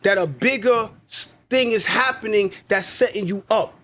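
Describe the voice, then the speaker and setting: Emphatic high-voiced man preaching self-reliance. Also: normal speed, fairly bright tone. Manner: emphatic, preachy, repeats phrases for stress. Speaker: podcast co-host. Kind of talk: casual podcast conversation.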